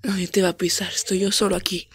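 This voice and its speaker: A woman voicing a possessive male owner who can turn back time, talking in a low, breathy, coaxing whisper. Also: level tone, fast. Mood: reassuring.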